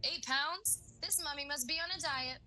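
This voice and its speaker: A woman's voice: high-pitched, moderate pace, sharp tone, soft background music. An actress playing an overly enthusiastic, sweet teen girl in a cartoon-parody audio drama. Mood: gushing, sweet. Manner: gushing, exaggerated.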